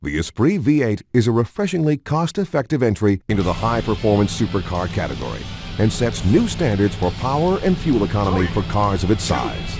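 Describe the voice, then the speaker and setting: A man's voice: lowish voice, big pitch swings, music in the background. Male narrator with widely swinging intonation. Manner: measured, polished announcer delivery. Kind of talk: scripted documentary-style narration.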